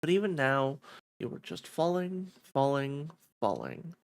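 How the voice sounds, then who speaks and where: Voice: medium pitch, big pitch swings, unhurried, clean tone, pitched below the performer's own voice. A streamer voicing a sassy, sly cat who taunts theatrically in a fantasy visual novel. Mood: teasing.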